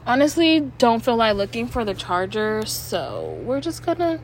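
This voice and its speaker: A young woman talks to herself at home in a loose, rambling way, stumbling over words and dropping the odd curse. Her voice sits a little high and sounds stuffy. A faint hiss runs under the recording. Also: huge pitch swings, normal speed. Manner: rambling, trips over words, swears casually. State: stuffy nose. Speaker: podcast host. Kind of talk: casual rambling monologue.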